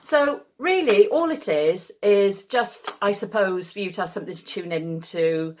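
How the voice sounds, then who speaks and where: A woman's voice: medium pitch, lively intonation, moderate pace, a little mellow, slight background noise. A Welsh woman leading a choir from home, chatting casually and self-deprecatingly with lots of ums. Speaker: choir leader. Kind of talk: casual chat to camera. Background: faint keyboard.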